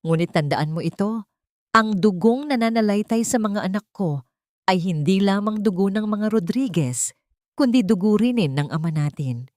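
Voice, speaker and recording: A woman's voice: medium pitch, lively intonation, normal speed, a little mellow, no echo, lightly acted. A woman voicing a resolute, defiant mother with a firm, authoritative, dramatic delivery.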